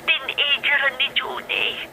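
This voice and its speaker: A woman's voice: high-pitched, little pitch movement, slow pace, pushed and bright, slight background noise, full character voice, low-quality recording. A woman acting a worried, insistent mother in a radio drama.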